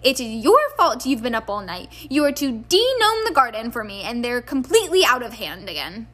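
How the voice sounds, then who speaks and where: A woman's voice: fairly high pitch, expressive, normal speed, fairly bright tone. A woman voicing a bossy, fussing middle-aged witch mother in a storybook, snappy and emphatic but doting. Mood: scolding.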